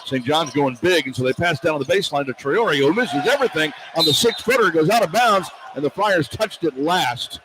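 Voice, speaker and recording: High-pitched male basketball play-by-play announcer. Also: animated, a little fast, hardly any pauses. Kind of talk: live basketball play-by-play commentary. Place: courtside in a basketball arena. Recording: loud arena noise behind the voice.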